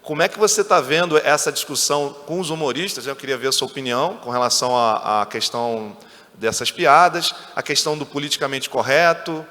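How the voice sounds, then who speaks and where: Bright-voiced male panel moderator. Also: medium pitch, a little fast. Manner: conversational, leading questions with frequent "né". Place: conference stage. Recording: reverberant hall.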